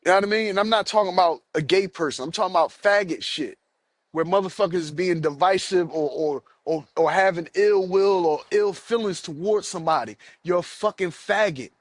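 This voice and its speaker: A man's voice: high-pitched, a little fast, dry recording. A man hosting a solo podcast, ranting angrily and repeating himself as he swears. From United States. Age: adult, has children. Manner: angry, profane, repeats himself. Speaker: podcast host.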